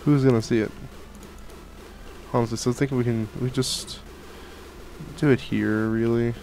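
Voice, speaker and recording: A man's voice: medium pitch, steady tone, moderate pace, gravelly, a little mellow, slight background noise, rough recording. A young man with a cold, rambling through casual game commentary from a home gaming room, full of fillers and restarts. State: catching a cold. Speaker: gaming channel host. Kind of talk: casual Minecraft let's play commentary. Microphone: headset and studio mic at desk.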